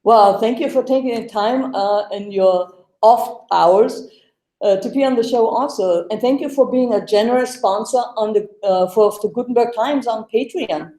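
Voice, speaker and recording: A woman's voice: on the high side, normal speed, dark tone, roomy sound. A woman, hosting a live web Q&A show over a video call. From Germany. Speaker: host. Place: home room, video call.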